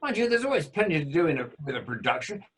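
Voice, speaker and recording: Man doing an affable luvvie director, halting, sharp husky voice, dodging politely. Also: on the high side, sing-song, heavily acted. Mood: apologetic. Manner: stammering, apologetic, luvvie endearments. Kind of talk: amateur radio play acting.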